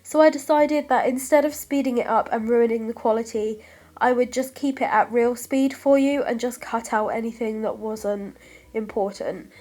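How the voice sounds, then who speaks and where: A woman's voice: on the high side, fairly even intonation, moderate pace, a little mellow. A young British woman with a stuffy, congested voice from a cold, chatting through a scrapbooking voice-over, a little short of breath and apologetic. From United Kingdom. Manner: chatty, apologetic, lots of ums. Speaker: craft vlogger.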